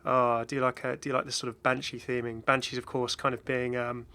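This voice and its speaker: A man's voice: medium pitch, flat delivery, brisk, sharp tone, clean tone. A man giving casual, off-the-cuff game commentary as a video host, chatty and rambling with enthusiastic asides.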